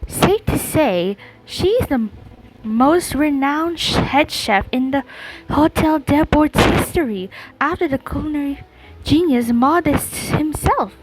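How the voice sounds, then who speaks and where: A woman streaming a game, reading dialogue aloud and commenting casually in a halting way. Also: high-pitched, lively intonation, rough recording. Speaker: gameplay streamer.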